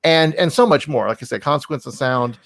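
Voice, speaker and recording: A man's voice: a little high, expressive, fairly quick, creaky, a bit bright, no echo, clean studio sound. A man hosting a casual podcast interview, talking in an effusive, rambling, self-deprecating way. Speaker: podcast host.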